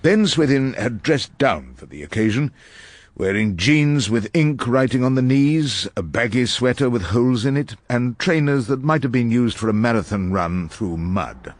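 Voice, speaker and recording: An actor playing an irascible, wry elderly English criminal barrister, narrating a radio drama in husky, literary, ornate phrases. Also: male voice, mid-range voice, animated, normal speed, lightly acted. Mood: wry, world-weary.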